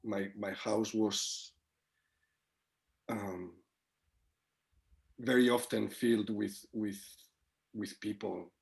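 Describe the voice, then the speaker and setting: A man's voice: lowish voice, steady tone, very slow, creaky, long pauses. A man from Spain, a guest on a video call from home who answers thoughtfully and searches for his words. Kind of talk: casual conversation.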